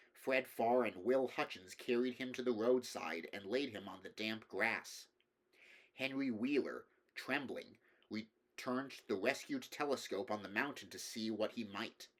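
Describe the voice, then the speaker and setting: A man reading literary horror fiction aloud, with measured, deliberate dramatic narration. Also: mid-range voice, a bit bright. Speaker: audiobook reader.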